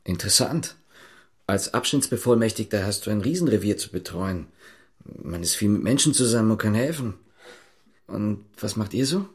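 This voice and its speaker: Casting: reserved policeman on a covert errand, low voice, clipped matter-of-fact delivery, radio play. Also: animated, normal speed. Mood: casual, reserved. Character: Martin, guarded East German policeman on a covert errand. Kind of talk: radio drama acting with inner monologue.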